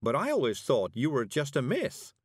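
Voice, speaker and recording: A man voicing a composed, dryly stern female witch in a storybook, speaking in a measured, articulate way. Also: medium pitch, huge pitch swings, breathy edge, dry recording, clean studio sound, pitched above the performer's own voice. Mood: polite, curious.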